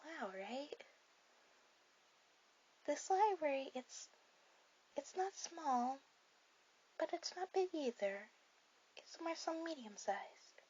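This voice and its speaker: A woman voicing a shy, sweet, bookish girl on a first date in an ASMR roleplay, speaking softly and hesitantly to the listener. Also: high-pitched, expressive, lo-fi sound. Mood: gentle, nervous. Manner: soft, hesitant, halting delivery.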